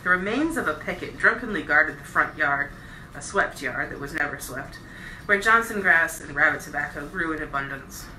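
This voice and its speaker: A woman reading a novel aloud as a bedtime storyteller, with expressive, deliberate phrasing and stressed words. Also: low voice. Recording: roomy echo, distant mic, faint noise.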